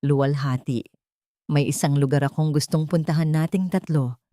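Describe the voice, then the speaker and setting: A woman voicing a remorseful, humbled wealthy man in an audio storybook drama, hushed and earnest. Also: low voice, steady tone, moderate pace, no echo, studio quality, natural voice. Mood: hopeful.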